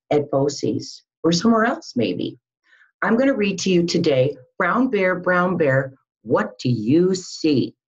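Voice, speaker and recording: A woman's voice: low voice, a little mellow, husky. A middle-aged woman reading a picture book aloud to young children, sing-song and full of questions, on a webcam microphone at home. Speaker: school behavior specialist reading to young children. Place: small room at home, indoors. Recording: dull lo-fi webcam sound.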